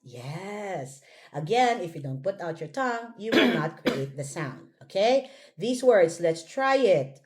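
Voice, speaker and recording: A woman's voice: medium pitch, very animated, unhurried. A woman with a Filipino accent teaching pronunciation, giving short instructive prompts and corrections as she drills words.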